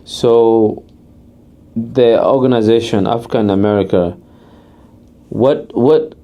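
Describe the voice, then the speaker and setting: A man's voice: mid-range voice, slow, dull audio. A man hosting a podcast, asking his guest questions in a friendly, loose way with lots of fillers. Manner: friendly, loose, says you know a lot. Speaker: podcast host. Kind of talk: casual podcast interview.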